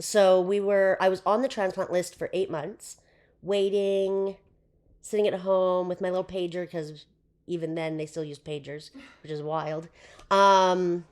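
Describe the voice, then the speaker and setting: Hoarse woman, chatty. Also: fairly low pitch, steady tone, measured pace, a little mellow. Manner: chatty, with frequent fillers and laughs. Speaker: podcast guest. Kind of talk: casual interview chat.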